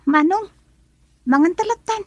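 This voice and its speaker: A woman's voice: very high voice, animated, measured pace, a little mellow. A woman playing a caring, anxious young daughter in an Ilocano radio drama. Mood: concerned.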